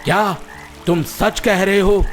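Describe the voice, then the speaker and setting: A man's voice: sits high, fairly even intonation, takes it slowly, a bit bright. An actor voicing a gullible, self-important frog king in a cartoon moral story, with a regal, curious manner. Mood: curious, surprised. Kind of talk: animated Hindi moral story dialogue. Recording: music behind the voice.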